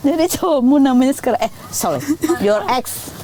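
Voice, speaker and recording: A woman's voice: high voice, animated, normal speed, background noise. A middle-aged woman with an Indonesian accent hosts a casual interview, bantering in a teasing, probing, chatty way. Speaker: host interviewing her daughter.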